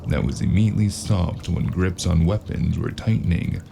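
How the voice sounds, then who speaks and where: A man playing a world-weary, wry noir private investigator in an audio drama, with a gravelly voice. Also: low-pitched, moderate pace, warm tone, a little hiss or hum. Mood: brooding, wry.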